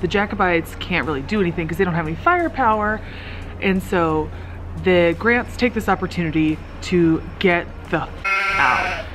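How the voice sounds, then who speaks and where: A woman in her twenties chatting casually to a camera at arm's length outdoors, telling stories in an animated way with casual asides. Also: on the low side, normal speed, background noise. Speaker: travel vlogger. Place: outdoors at castle ruins and scenic viewpoints.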